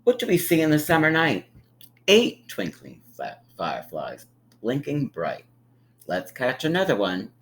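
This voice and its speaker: A man reading a picture book aloud to young children like a teacher, with emphatic pauses and encouraging, friendly asides. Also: mid-range voice, huge pitch swings, a bit bright. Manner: encouraging, inviting listeners to count along; drawn-out, emphatic storytelling delivery with dramatic pauses.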